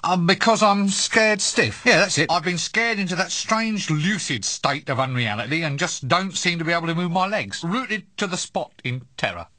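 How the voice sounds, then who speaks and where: An actor playing a wisecracking, cynical adventurer in a comic video game, glib, sarcastic and exasperated. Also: male voice, high-pitched, expressive, moderate pace, hardly any pauses, sharp tone, dry recording, full character voice. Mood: mock sincere.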